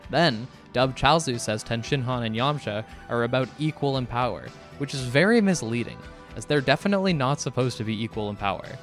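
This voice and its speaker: The wry male narrator of an online fan video essay, picking things apart at a medium pitch over a music bed. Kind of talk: scripted narration. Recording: music behind the voice. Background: background music.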